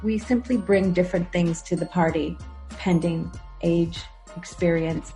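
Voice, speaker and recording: A woman's voice: lowish voice, steady tone, unhurried, dark tone, faint music behind. A woman hosting a podcast interview, talking in an enthusiastic, reflective way. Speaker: podcast host. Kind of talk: conversational interview.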